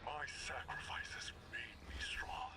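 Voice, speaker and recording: A man voicing a tormented, hollowed-out vessel of a dark power in a video game, breathy, halting and bitter. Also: natural voice. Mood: anguished. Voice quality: breathy, whispering. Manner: anguished, halting, intense, bitter. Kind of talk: dramatic video game cutscene acting.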